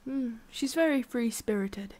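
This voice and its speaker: A woman playing a centuries-old forest witch in an ASMR roleplay, haughty but secretly soft, speaking in a halting, musing way with a prickly edge. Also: a little high, animated. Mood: fond, musing. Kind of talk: ASMR roleplay, addressing the listener.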